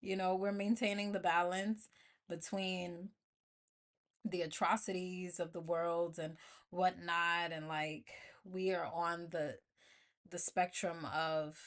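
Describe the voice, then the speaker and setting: A woman's voice: lowish voice, fairly even intonation, slow pace, long pauses. An American woman hosting an informal motivational podcast solo, speaking colloquially and emphatically, repeating phrases to drive them home. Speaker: podcast host.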